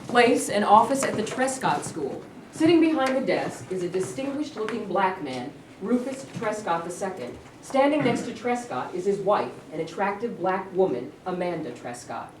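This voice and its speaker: A woman's voice: mid-range voice, very animated, normal speed. A woman reading scripted scene headings and stage directions at a staged play reading. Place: live staged reading in a room. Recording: distant, echoey, noisy room.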